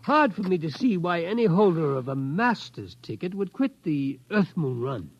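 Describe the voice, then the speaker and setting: A man playing a courteous, hesitant, wealthy elderly gentleman in a 1950s radio drama. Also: a little high, sing-song, normal speed, slight background noise. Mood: polite, hesitant. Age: older. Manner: hesitant, polite, genteel. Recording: muffled 1950s radio recording.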